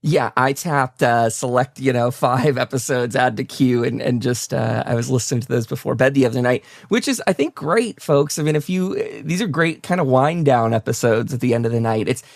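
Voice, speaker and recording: A man's voice: mid-range voice, expressive, normal speed, gravelly, hardly any pauses, no room sound, clean studio sound. A man hosting a podcast interview in casual, enthusiastic chat, rambling a little as he thinks aloud. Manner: enthusiastic, complimentary. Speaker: podcast host interviewing a guest.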